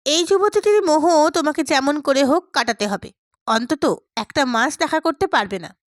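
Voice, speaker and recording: A woman's voice: high voice, expressive, normal speed, no echo, studio quality. A woman voicing a passionate, stubborn young man who speaks earnestly and emphatically to his dear friend in an audiobook. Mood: impassioned, hurt.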